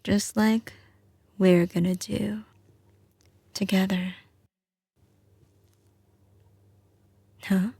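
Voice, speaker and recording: A woman's voice: mid-range voice, flat delivery, slow, creaky, warm tone, breathy edge, no room sound, heavily acted. A woman playing a doting, confident mafia heiress girlfriend in an intimate ASMR roleplay, with a slight Southern US drawl and a tender, assured, often whispery delivery. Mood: playful, fond.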